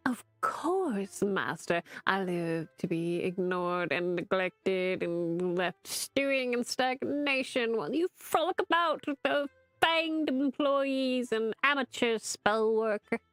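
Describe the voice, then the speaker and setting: Give me a woman playing a haughty male grimoire, slow and pompously sarcastic, recorded dry. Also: medium pitch, animated, dry recording, soft background music.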